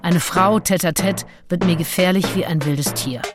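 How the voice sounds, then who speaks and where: A woman's voice: fairly low pitch, moderate pace, close to an everyday voice. An actress playing a passionate, self-questioning Englishwoman of the early 1800s who confides her diary in an intimate, introspective way in a radio play. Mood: self-doubting. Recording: music behind the voice. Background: music with drums.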